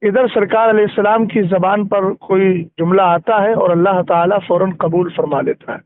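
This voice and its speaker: High-voiced man teaching over the phone. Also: steady tone, normal speed, dry recording. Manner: didactic, checks listener understands. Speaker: Islamic scholar (mufti). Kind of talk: explanatory religious talk with recited verses. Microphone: phone call. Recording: narrow-band recorded phone call.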